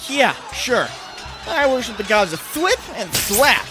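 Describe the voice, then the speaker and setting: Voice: medium pitch, sing-song, a little slow, over music. A performer voicing a brave, casual, quippy young male superhero in a comic-book dub. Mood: puzzled, quippy.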